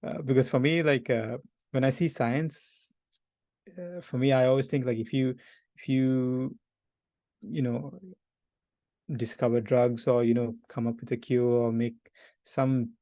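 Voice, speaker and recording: A man's voice: medium pitch, steady tone, measured pace, long pauses, mellow, dry recording. A man, introducing himself hesitantly on a video call with many fillers and laughs. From Sri Lanka. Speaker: PhD student guest. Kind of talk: casual self-introduction.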